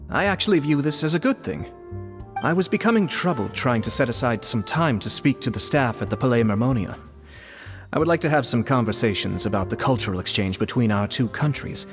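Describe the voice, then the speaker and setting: A man voicing a calm, courteous noble brother. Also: medium pitch, lightly acted. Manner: calm, formal and polite. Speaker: older brother, diplomat.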